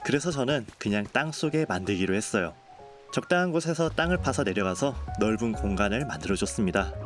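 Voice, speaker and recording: A man narrating a game building video in a calm, polite, explanatory voice-over. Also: lowish voice, fast talker. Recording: voice-over with clear background music.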